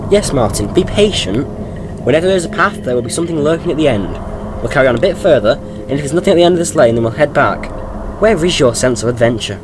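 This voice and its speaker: A man's voice: a little high, expressive, quick pace, noisy background, close to an everyday voice. A man playing a jaunty, impatient, eccentric time-travelling adventurer in a sci-fi audio drama. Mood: upbeat.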